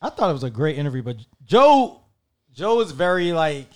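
A man's voice: fairly high pitch, sing-song, normal speed, darkish tone. A man hosting a podcast, chatting casually and laughing while setting up gear in a small closed room. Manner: laid-back, laughs often, fiddles with gear while talking. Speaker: podcast host. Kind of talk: casual chat before recording. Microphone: headset studio mic.

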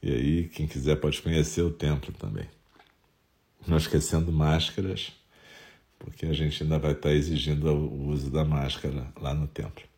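A Brazilian man with a nasal, stuffy voice from a head cold, calmly leading a Zen meditation talk. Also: rumbling low, normal speed. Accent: Brazilian Portuguese.

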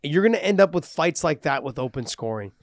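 A man co-hosting a casual sports podcast, talkative and animated, explaining his views at length. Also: on the high side, fast talker, dry recording, clean studio sound. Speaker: podcast co-host.

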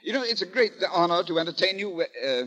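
An actor playing an eccentric, absent-minded amateur poet in flowery, bumbling 1930s radio comedy style. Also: male voice, high voice, lively intonation, fast talker, bright, forceful tone, low-quality recording. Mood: hospitable, rambling. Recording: vintage 1930s radio broadcast.

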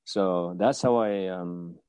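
A man in his fifties from Afghanistan telling his own story as an interview guest, with a halting, hesitant delivery. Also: low voice, mellow, clear, resonant tone, no echo. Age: 50s. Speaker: guest, martial arts teacher.

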